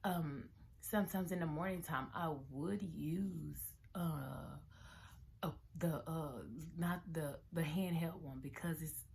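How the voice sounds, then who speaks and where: Young woman vlogger, very low and hoarse, rambling and casual, slips into whispers, faint background hiss. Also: slow, a little mellow. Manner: rambling, many restarts and fillers, drifts into near-whispers. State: hoarse morning voice. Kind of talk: casual chat to camera.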